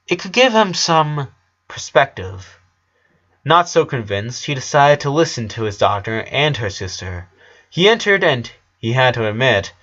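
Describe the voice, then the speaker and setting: A man's voice: mid-range voice, fairly bright tone, dull audio. A man reading scripted story narration as the narrator.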